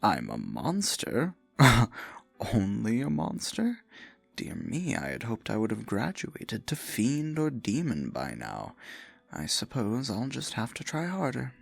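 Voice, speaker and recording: A man playing a husky, possessive dark sorcerer. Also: wildly swooping pitch, no room sound, faint music behind, exaggerated voice. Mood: mocking amusement. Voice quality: low, husky, gravelly. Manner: slow, theatrical, breathy murmuring with mock-sweet endearments. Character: possessive male dark sorcerer, theatrical, silkily menacing captor. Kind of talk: ASMR fantasy audio roleplay.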